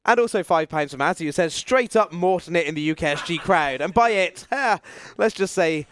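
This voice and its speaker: A man with a notably high voice hosts a gaming marathon. He presents in an upbeat event-host style. There is light noise with some clicking behind him. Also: lively intonation, a little fast, a bit bright. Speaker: marathon host reading donations. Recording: noisy live event, clicks.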